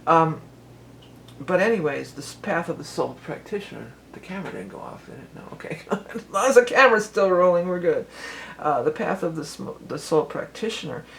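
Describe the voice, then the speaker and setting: A woman's voice: animated, moderate pace, vocal fry, slight background noise. Rambling, chatty woman tarot reader. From Cleveland, Ohio. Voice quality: lower voice than she once had. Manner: rambling, chatty, many fillers and restarts. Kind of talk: casual tarot reading vlog.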